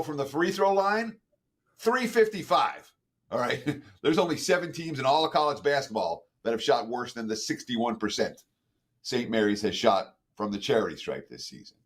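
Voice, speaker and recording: A man co-hosts a sports betting show, reeling off team stats with a wry, needling edge. His voice sits at an ordinary middle pitch for a man. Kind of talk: casual betting show chat.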